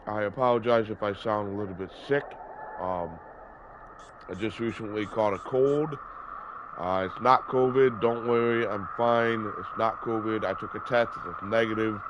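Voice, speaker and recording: A man's voice: fairly low pitch, steady tone, normal speed. Stuffy-voiced man talking through a cold. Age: 30s. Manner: rambling, many fillers, corrects himself. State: stuffy from a cold, sore throat. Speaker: gaming video host. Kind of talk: casual gameplay commentary. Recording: dull lo-fi audio with background noise.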